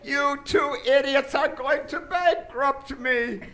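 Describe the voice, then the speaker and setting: An actor playing a villainous, exasperated mad doctor in a hammy, imperious way in a live radio drama. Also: male voice, very high voice, slow pace, full character voice. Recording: echoey live stage recording with noise.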